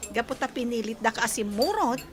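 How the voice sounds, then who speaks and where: A woman's voice: a little high, lively intonation, a little fast, a crowd in the background, lightly acted. An actress playing a playful young woman who teases her friend in a radio drama. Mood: teasing. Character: young woman Alexa, teasing upbeat friend.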